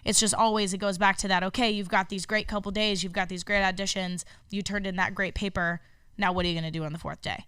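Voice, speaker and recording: A woman's voice: mid-range voice, steady tone, fast talker, a bit bright, smooth, no echo. A woman co-hosting a podcast, chatting casually in a blunt, direct way. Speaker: podcast co-host.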